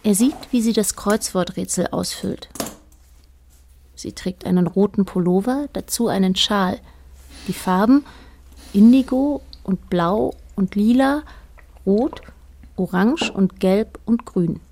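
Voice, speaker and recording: A woman narrating a radio play as a detached observer, in a measured, matter-of-fact way. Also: mid-range voice, darkish tone. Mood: detached, observant. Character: detached female narrator observing a married couple.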